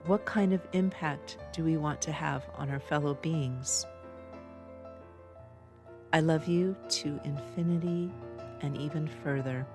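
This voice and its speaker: A middle-aged woman reading a personal letter aloud in an earnest, heartfelt manner. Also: low voice. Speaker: podcast host.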